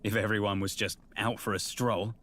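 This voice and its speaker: An actor playing a sardonic, grumbling onlooker in a video game, dry and sarcastic. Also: male voice, on the low side, flat delivery, normal speed, a bit bright, slight background noise, lightly acted. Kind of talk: video game character dialogue.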